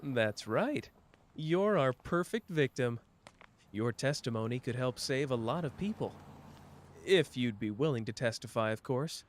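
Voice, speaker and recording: A man's voice: mid-range voice, measured pace, slight background noise, natural voice. A man playing a teasing young detective. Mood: calm questioning. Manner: playful, teasing, jumps between soft and excited. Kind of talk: ASMR roleplay.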